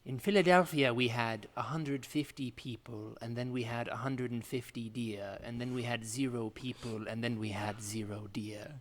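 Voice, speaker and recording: A man playing Juliet, a serene, stubborn new-age guru woman, speaking in slow, solemn, commanding phrases as in an improvised comedy meditation. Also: mid-range voice, steady tone, big-room sound, close to an everyday voice. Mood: serene, insistent.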